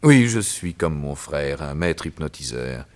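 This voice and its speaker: An actor voicing a gloating, theatrical villain who is a doctor and hypnotist in a vintage radio drama. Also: male voice, rumbling low, big pitch swings, unhurried, a little mellow, exaggerated voice. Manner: sneering, theatrical, laughs at his own cruelty.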